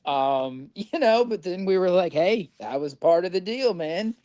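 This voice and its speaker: A man's voice: sits high, animated, a little slow, mellow, no room sound. A middle-aged man from Indiana, a podcast guest telling his recovery story in a candid, rambling way and swearing freely.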